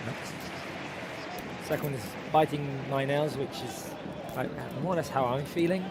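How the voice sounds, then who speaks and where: A man with a raspy voice hosting a live football watch-along, commentating and talking directly to viewers in a repetitive, animated way. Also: on the high side, normal speed. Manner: animated, repeats himself, addresses viewers directly. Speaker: live match-reaction show host and commentator. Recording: loud background noise.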